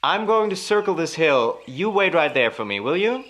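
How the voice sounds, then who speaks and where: A man's voice: a little high, very animated, normal speed, fairly bright tone. An actor in a radio play as a jealous husband, musing theatrically and teasing his wife with menace. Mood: calling out.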